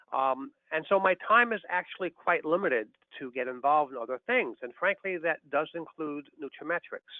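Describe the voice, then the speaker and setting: A man in his sixties giving a prepared, measured, matter-of-fact talk over a telephone conference line. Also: mid-range voice, no echo, narrow, tinny audio. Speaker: doctor and business partner speaking on a conference call.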